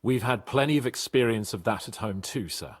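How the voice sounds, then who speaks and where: Husky, animated young knight. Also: male voice, fairly low pitch, a little fast. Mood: eager, respectful. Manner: earnest, deferential, formal. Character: eager young nobleman knight, polite and bold. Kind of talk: video game cutscene acting.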